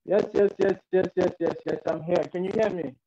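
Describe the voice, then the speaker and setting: A man's voice: high-pitched, steady tone, fairly quick, warm tone, dull audio. A young man with a Zimbabwean accent, a poet speaking casually and repeating his words on an internet video call. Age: young adult. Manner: repeats words, friendly greetings. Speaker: poet and rapper. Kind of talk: casual introduction before reciting.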